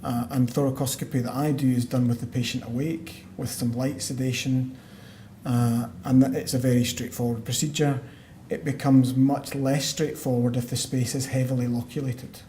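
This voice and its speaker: A Scottish man, a lung doctor, giving a measured medical conference talk with frequent fillers and restarts. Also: mid-range voice, flat delivery, dark tone. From Scotland. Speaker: pulmonologist giving a conference lecture. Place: conference hall. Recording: roomy hall sound, faint background noise.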